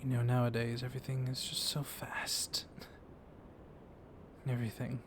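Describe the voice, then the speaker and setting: A man playing a gently dominant, comforting vampire librarian in an intimate ASMR roleplay, speaking softly and haltingly, often slipping into a whisper. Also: mid-range voice, monotone, close to an everyday voice. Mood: wistful, gentle. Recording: clear storm noise behind the voice. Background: thunderstorm ambience.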